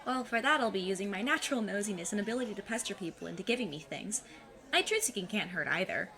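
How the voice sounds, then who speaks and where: A woman's voice: mid-range voice, lively intonation, fast, vocal fry, sharp tone. A woman playing a plucky, overconfident fifteen-year-old girl sleuth with a cheeky, mock-formal manner in an audio drama. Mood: defensive, stubborn. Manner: eager, cheeky, mock-formal, self-assured.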